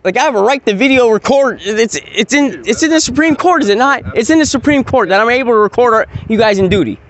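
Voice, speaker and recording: A man's voice: very high voice, animated, fast, a bit bright. Insistent man arguing on a night street. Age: 40s. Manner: insistent, repetitive, rambling and confrontational. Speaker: citizen filming police. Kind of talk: heated street argument.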